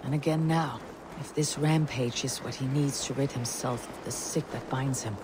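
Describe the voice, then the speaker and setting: A woman playing a stoic, thoughtful Viking warrior in a video game, measured, grave and resolute. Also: very deep voice, steady tone, background noise. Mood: regretful.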